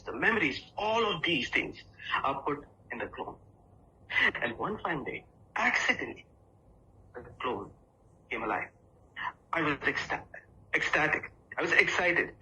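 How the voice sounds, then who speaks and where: A man delivering a rehearsed humorous story as a contest speaker, theatrical, with dramatic pauses and emphasis. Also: a little high, pushed and bright, slight background noise, poor audio.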